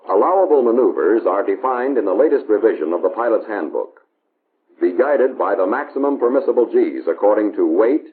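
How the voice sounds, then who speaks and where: Tinny 1950s American male film narrator. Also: on the low side. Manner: measured, authoritative, instructional. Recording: tinny band-limited 1950s film soundtrack, hiss.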